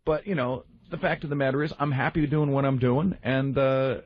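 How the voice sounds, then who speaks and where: A man's voice: mid-range voice, steady tone, moderate pace, no room sound, muffled recording. A man chatting on a radio roundtable, telling stories bluntly with swearing and acted-out dialogue. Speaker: radio show panelist. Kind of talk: casual radio roundtable chat.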